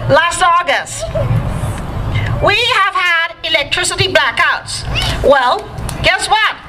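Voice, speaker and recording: A woman's voice: very high-pitched, takes it slowly, music in the background. A woman from Guyana giving an emphatic political speech to an outdoor crowd by a riverfront. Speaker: rally speaker. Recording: noisy outdoor crowd recording.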